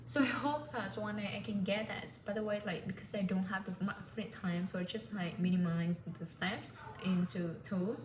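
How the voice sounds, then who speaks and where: A woman's voice: mid-range voice, normal speed, few pauses. Chatty woman in an echoey room. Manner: rambling, many fillers and "like". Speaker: language learner vlogger. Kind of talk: casual vlog chat. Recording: echoey room with clear background noise.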